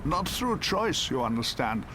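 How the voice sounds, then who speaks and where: A man voicing a dying old councillor in a bedtime story, weak, halting and labored, full of guilt and pleading. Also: medium pitch. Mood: regretful, urgent. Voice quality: breathy, labored. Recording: steady rain noise behind the voice.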